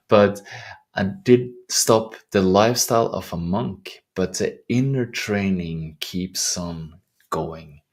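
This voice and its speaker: A middle-aged man with a Norwegian accent, a life coach speaking earnestly and softly in a reflective vlog, with unhurried, searching restarts. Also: low voice. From Norway. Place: outdoors in the wilderness.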